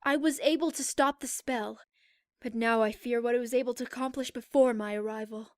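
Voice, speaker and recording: Casting: woman to play stately alicorn princess for audio story, high-pitched, measured, formal and serious, recorded very dry. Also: steady tone, no echo, close to an everyday voice. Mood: grave, reassuring. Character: regal, grave adult female alicorn pony princess. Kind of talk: scripted audio drama acting.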